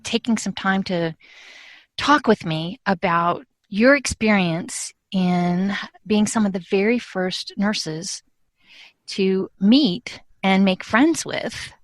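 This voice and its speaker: A woman hosting an interview, asking measured, open questions. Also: medium pitch, dry recording. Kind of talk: podcast interview.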